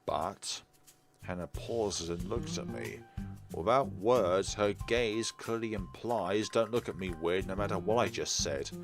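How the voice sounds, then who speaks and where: A man's voice: fairly low pitch, unhurried, over music. A man hosting a visual novel playthrough, reading the text aloud and mixing in casual, offhand remarks. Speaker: host of a game playthrough.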